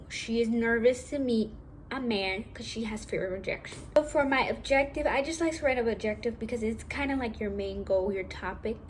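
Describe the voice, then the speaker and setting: Young woman, high natural voice, rambling casual vlog chat, faint room hiss. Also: poor audio. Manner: chatty, rambling, self-correcting, casual. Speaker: vlogger. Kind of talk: casual acting-tips vlog. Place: at home.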